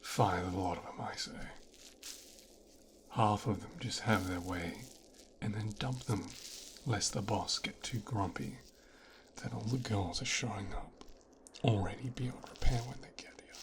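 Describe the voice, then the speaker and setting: A man playing an arrogant, bitter young son of a mafia boss in an ASMR roleplay, coldly menacing, with a breathy half-whisper and a deliberate, condescending delivery. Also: lowish voice, slight background noise, close to an everyday voice, poor audio. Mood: disgruntled, contemptuous. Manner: slow, deliberate, condescending, profane. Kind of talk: scripted ASMR roleplay, speaking directly to the listener.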